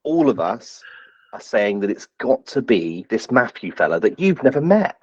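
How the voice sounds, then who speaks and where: A man's voice: mid-range voice, sing-song, normal speed, a little mellow, slightly rough. A man playing a tabletop roleplay game, bantering in and out of character, on a slightly dull recording.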